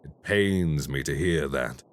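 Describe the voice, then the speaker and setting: An actor playing a calm, formal male crime boss who speaks in a polite, measured, businesslike way in an audio roleplay. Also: rumbling low. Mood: courteous.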